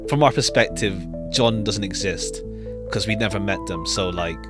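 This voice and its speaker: A woman's voice: extremely low pitch, normal speed. A woman doing casual live commentary on a game, loosely thinking aloud as she plays. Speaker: streamer playing and commenting on a game. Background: jazzy game background music.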